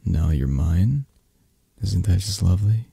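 A man acts out a flirtatious, possessive mafia boss in an ASMR roleplay. His voice is very low and dark, with a gravelly fry. He murmurs to his lover in a sleepy, teasing, soothing way. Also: lively intonation, a little slow, no room sound, clean studio sound, heavily acted. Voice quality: breathy, husky. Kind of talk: intimate ASMR romance roleplay.

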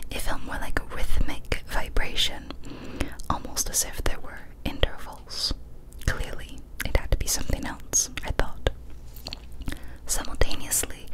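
A young woman whispers softly right up at a studio microphone. Her delivery is intimate ASMR, gentle and deliberate, with breathy, unvoiced words that take their time. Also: poor audio. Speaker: ASMR YouTuber and host. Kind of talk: whispered ASMR, intimate talk and story reading. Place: small cozy indoor room. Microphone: large studio microphone close to the mouth.

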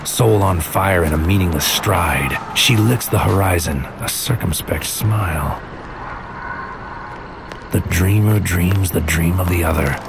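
Casting: brooding sci-fi noir narrator, low-pitched, clipped poetic delivery, over prominent music. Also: male voice, vocal fry. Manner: poetic, clipped, deliberate phrasing. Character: brooding male mind infiltrator narrating a surreal noir mission. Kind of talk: scripted noir audio drama narration.

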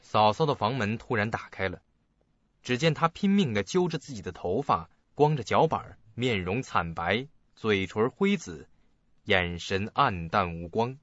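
A man's voice: lowish voice, lively intonation, a little slow, a bit bright, dry recording. A man narrating a scripted suspense drama as a dramatic storyteller. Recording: dull lo-fi audio with music.

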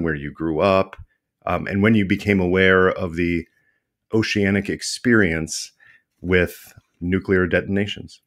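Very deep-voiced male host. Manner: enthusiastic, self-deprecating, some restarts. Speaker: podcast host. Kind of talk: conversational interview.

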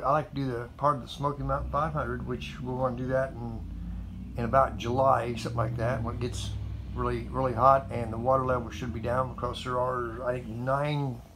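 A man in his seventies talks in a loose, folksy ramble, circling back with fillers and repeats. His voice sits at an ordinary middle pitch. A faint hum of room ambience hangs behind him in a metal carport, caught by a nearby camera. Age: 70s. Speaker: motorcycle vlogger. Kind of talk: rambling casual monologue to camera. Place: metal carport garage, indoors.

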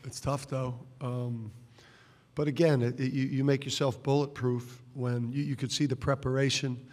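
A man's voice: mid-range voice, little pitch movement, normal speed, darkish tone. A middle-aged man, a basketball coach, answering press conference questions bluntly with many restarts and repeated words, speaking into a table microphone. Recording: reverberant press room.

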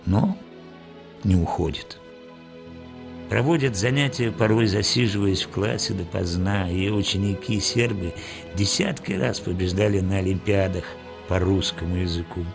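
A man reading scripted documentary narration in a measured, formal way. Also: sits low, vocal fry. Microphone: studio voice-over. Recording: voice-over with music underneath.

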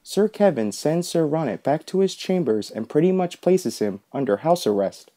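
A man's voice: a little high, big pitch swings, moderate pace, warm tone, soft background music. A man narrating a scripted book analysis as the host of a video essay.

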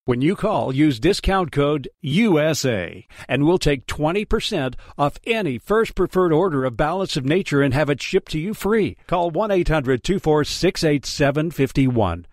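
A man's voice: fairly high pitch, expressive, normal speed, no room sound, clean studio sound. A man reading a scripted radio advertisement as its announcer.

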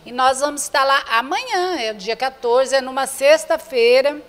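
A woman's voice: high-pitched, normal speed. An older woman with a Brazilian accent, earnestly inviting the public in an interview and often repeating herself as she addresses viewers directly. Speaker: association president, interview guest. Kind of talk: spoken interview inviting the public to a charity event. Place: indoor room with bare walls. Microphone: handheld reporter's microphone held before her. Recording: reverberant room sound.

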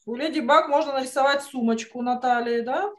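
A woman's voice: high-pitched, brisk. A woman teaching an online drawing lesson to children, encouraging and full of praise. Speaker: art teacher. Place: indoors on a video call.

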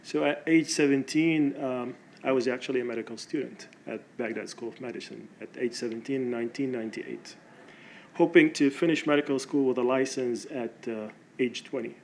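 A man's voice: medium pitch, steady tone, a little mellow, rough recording. Guy in his 30s from iraq telling his story at a podium, lots of ums and uhs, faint room noise. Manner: halting, with frequent "uh" and "um". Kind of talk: personal story told on stage. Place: auditorium stage at a podium.

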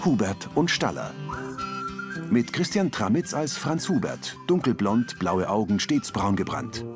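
A man's voice: on the low side, very animated, unhurried, over music. A man narrating a scripted audio description in terse sentences.

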